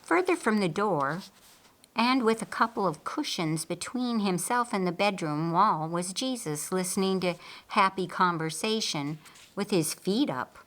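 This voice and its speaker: A woman reading an audiobook story in a deliberate, expressive storytelling style. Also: medium pitch. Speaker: audiobook narrator. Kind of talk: scripted storytelling narration with acted dialogue.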